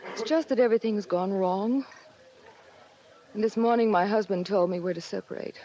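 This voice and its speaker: An actress playing a heartbroken, sentimental woman in a 1940s radio drama, breathless, pleading and halting. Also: mid-range voice, warm tone, close to an everyday voice. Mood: distraught, pleading. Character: heartbroken, sentimental married woman clinging to lost love. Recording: muffled, slightly noisy 1940s radio broadcast.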